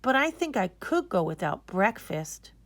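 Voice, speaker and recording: A woman's voice: mid-range voice, big pitch swings, measured pace. A woman voicing an earnest, anxious young boy in a storybook, with a hesitant manner. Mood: reluctant.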